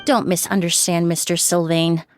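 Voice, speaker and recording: A woman voicing a poised young perfumer in a video game, polite, measured and coolly probing. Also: on the low side, level tone, over music, lightly acted. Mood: calm, insistent.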